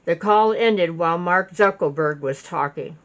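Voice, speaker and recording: Woman, very slow delivery, witness statement read aloud, dull lo-fi sound. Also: fairly low pitch. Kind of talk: reading a written statement aloud. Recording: dull lo-fi recording.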